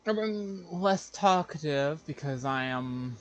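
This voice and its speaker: A man's voice: a little high, lively intonation, slow pace. A man giving casual live gameplay commentary, thinking aloud in a distracted way. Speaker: game streamer. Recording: clear background noise, game ambience.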